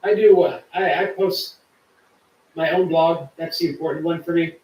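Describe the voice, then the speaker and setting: A man's voice: a little high, steady tone, normal speed, echoey room, distant mic, poor audio. A man hosting a live stream, chatting casually and reading viewer comments aloud. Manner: chatty, warm to viewers, reads chat aloud. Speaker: livestream host.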